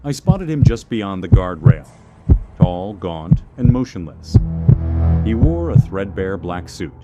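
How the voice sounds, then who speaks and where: A man narrating a scripted first-person horror story in a measured, suspenseful manner. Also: low-pitched, very animated, lo-fi sound, over loud music.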